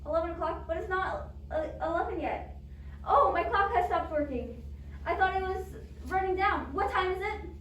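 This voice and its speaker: Woman acting high voice, nervy self-pitying invalid lady, radio-play melodrama, echoey, faint noise. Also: normal speed, a bit bright, dull audio. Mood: nervous, insistent. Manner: imperious, fretful, melodramatic pleading. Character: frightened, demanding, self-pitying invalid married woman, bedridden. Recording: roomy, distant microphone with faint noise.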